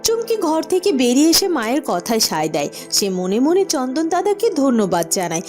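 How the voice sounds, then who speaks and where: A woman's voice: sits high, huge pitch swings, brisk, a little mellow, soft background music. A woman narrating a scripted fairy tale as a cartoon storyteller.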